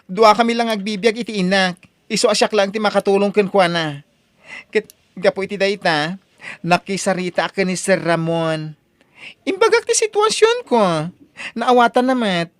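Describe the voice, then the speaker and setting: A man playing a humble young office worker in an Ilocano audio drama, speaking in a gentle, earnest way. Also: high-pitched, expressive, normal speed, dry recording. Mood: pleading. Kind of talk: Ilocano radio drama acting.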